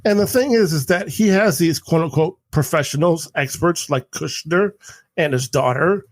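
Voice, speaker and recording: A man with a somewhat high voice argues his point on a podcast, insistent and opinionated. He breaks off with quick apologies. The mic sound is dry and close. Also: dry recording. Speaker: podcast co-host. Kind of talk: casual podcast chat.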